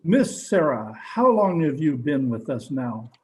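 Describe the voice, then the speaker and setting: An actor playing a formal, hesitant music master in an old-time radio drama, halting and apologetic. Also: male voice, on the high side, very animated. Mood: uneasy. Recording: faint hiss on home recording.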